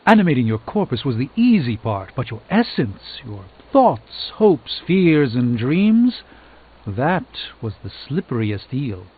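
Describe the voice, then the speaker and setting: An actor playing a pompous, learned sorcerer in a video game, speaking in a grandiose, lecturing way. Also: male voice, medium pitch, very animated, a little slow, slight background noise. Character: pompous, theatrical, learned male sorcerer and mystic. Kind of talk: theatrical video game dialogue. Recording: thin phone-like band, music behind.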